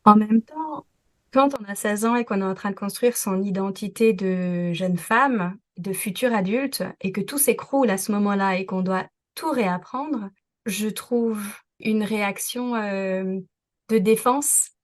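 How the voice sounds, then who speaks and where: A woman's voice: medium pitch, a little slow. Woman host asking reflective questions. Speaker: podcast host. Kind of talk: interviewing conversation.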